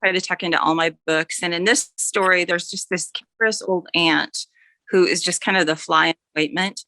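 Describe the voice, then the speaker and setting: A woman's voice: on the low side, fairly even intonation, a little fast, bright, forceful tone, dry recording. A mature woman chatting casually as an author guest, rambling a little and correcting herself as she goes. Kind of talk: casual interview chat.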